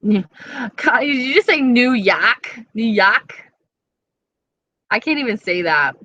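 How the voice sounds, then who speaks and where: A woman's voice: fairly high pitch, expressive, slow pace, bright, forceful tone, no echo, muffled recording. A young woman with an East Coast accent chatting casually on a live stream, reading viewer comments and swearing freely. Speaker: beauty vlogger.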